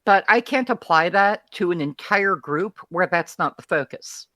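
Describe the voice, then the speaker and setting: A guest from Texas with a softened Texan accent, talking candidly and thoughtfully in a casual remote interview. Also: mid-range voice, animated, a little slow, no echo. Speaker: guest, feed curator. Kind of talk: casual conversation.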